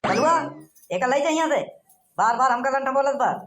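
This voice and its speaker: A woman performs a rustic village fellow in a comedy cartoon. The voice is fairly high. The delivery is blustery and argumentative. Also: animated, normal speed, a bit bright. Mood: offended, blustery. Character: rustic village males, cheeky, bickering, easily offended. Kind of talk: comic cartoon dialogue.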